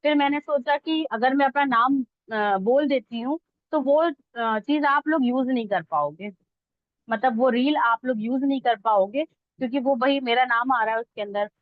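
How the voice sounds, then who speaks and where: Female online tutor, higher-pitched voice, informal and conversational step-by-step teaching, slightly muffled lo-fi recording. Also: normal speed, no echo. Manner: conversational, checks that listeners follow. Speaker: instructor teaching reel editing online. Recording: dull lo-fi close dry audio.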